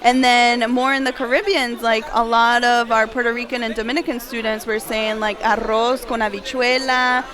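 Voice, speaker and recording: A woman's voice: fairly high pitch, level tone, moderate pace, few pauses, fairly bright tone. A woman from Massachusetts chatting casually and enthusiastically as an interview guest. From Massachusetts, United States. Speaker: interview guest, school guidance staff. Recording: busy crowd chatter behind.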